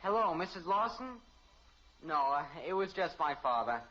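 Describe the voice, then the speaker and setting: A man's voice: on the high side, big pitch swings, moderate pace, sharp tone. A male actor playing an eager, self-important teenage son in 1950s radio comedy style, earnest and politely salesmanlike. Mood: businesslike. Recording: muffled old radio recording, faint hiss.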